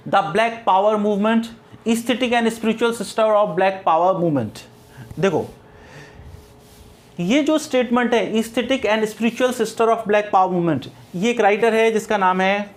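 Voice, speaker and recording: A man with an Indian accent teaching an exam-preparation lesson in a didactic way, repeating key points and often checking in with short tags. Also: high voice. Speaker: English literature lecturer. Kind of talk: exam-preparation lesson mixing explanation and read-out questions.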